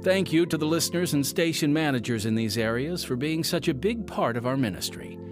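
An American man hosting a scripted radio ministry program, speaking in an earnest, measured announcer's delivery. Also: a little high, expressive. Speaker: radio show host and announcer. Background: music.

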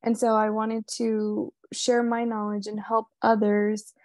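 A woman's voice: mid-range voice, monotone, slow, darkish tone, dry recording. A young woman from Puerto Rico, a panelist introducing herself over a video call on her phone, talking conversationally with many fillers.

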